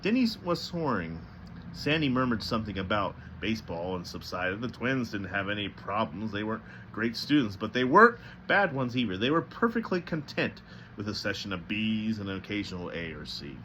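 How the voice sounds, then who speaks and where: A man reading a novel aloud as narrator, with a slightly halting delivery that stumbles now and then. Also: on the low side, sing-song, pushed and bright, slight background noise. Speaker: storybook reader.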